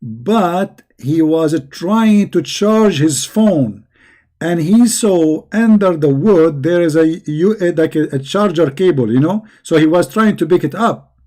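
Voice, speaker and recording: A hoarse man who is losing his voice, giving a sarcastic, scornful commentary. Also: on the high side, lively intonation, unhurried, warm tone. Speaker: religious critic. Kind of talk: mocking video commentary.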